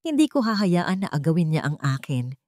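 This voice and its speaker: A greedy, scheming villain played by a woman narrator gives threatening orders in an audio drama. The delivery swings widely in pitch and sounds dry and close. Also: sing-song, moderate pace, darkish tone, no room sound. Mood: furious. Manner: commanding, threatening. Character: angry, menacing scheming man, greedy villain. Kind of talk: dramatic audio-story dialogue.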